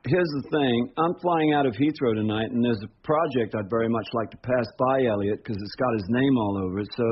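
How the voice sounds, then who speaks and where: A man's voice: medium pitch, brisk, lo-fi sound, slight background noise, natural voice. A man voicing a slick, breezy, pushy film distributor with a salesman's patter in a radio drama. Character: slick, pushy male film distributor, fast-talking hustler.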